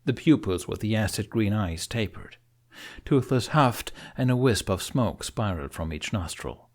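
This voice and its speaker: A man's voice: on the low side, animated, normal speed. A man narrating an audiobook as dramatic, scripted storytelling.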